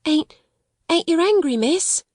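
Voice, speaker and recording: A woman's voice: sits high, moderate pace, mellow, no room sound, studio quality, pitched above the performer's own voice, natural voice. A woman voicing a timid, frightened poor servant girl, stammering and pleading. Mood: gasping timid.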